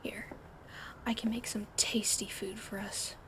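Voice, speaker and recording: A woman whispering an ASMR roleplay in a soft, soothing, coddling way over an audible fan hum. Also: medium pitch, little pitch movement, a little slow.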